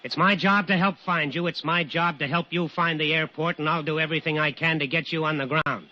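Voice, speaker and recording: An actor playing an earnest, commanding air traffic controller under pressure in an old-time radio drama, speaking firmly and deliberately. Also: male voice, fairly high pitch, fairly even intonation, pushed and bright. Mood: firm, grave. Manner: deliberate, firm, instructing. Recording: noisy, muffled old radio recording.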